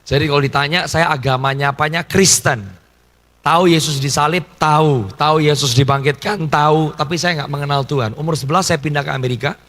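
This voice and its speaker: A man's voice: a little high, fairly even intonation, a little fast, fairly bright tone. A man in his thirties from Indonesia, a pastor telling his life story in a conversational sermon and dropping in English phrases. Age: 30s. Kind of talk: testimony sermon.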